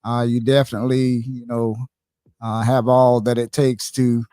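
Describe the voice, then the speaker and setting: A man's voice: mid-range voice, level tone, normal speed, dark tone, clean tone, no echo, clean studio sound. A man hosting a podcast interview, speaking hesitantly with many fillers and restarts. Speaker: podcast host.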